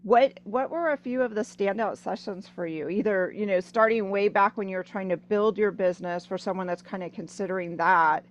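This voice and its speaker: A woman's voice: mid-range voice, fairly quick, few pauses, slight background noise. A woman hosting a casual interview over a video call, chatty and full of "I mean" and "you know". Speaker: podcast host.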